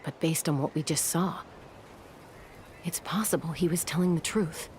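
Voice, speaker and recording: A woman playing a calm, resolute young female scout in a video game, speaking in a measured, decisive way. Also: deep voice, a little hiss or hum, lightly acted. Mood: composed, watchful. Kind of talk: video game dialogue acting. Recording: game music under the voice. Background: game music.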